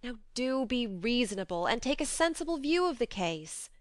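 Casting: female reader for frank, tender young heroine of a storybook, firm and earnest, crisp tone. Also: on the high side, big pitch swings, moderate pace, no room sound, clean studio sound, pitched above the performer's own voice. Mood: imploring. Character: frank, tender but resolute young woman refusing a suitor. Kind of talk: audiobook character voice.

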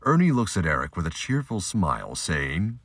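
A man narrating a scripted amateur audio drama with a husky voice. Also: low voice, sing-song, normal speed, a bit bright, no room sound, clean studio sound.

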